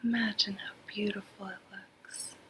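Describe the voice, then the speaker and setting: A woman guiding a sleep meditation in a breathy half-whisper, soothing and measured, with long pauses. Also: mid-range voice, flat delivery, bright, forceful tone, poor audio. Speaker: meditation guide. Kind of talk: slow guided sleep meditation.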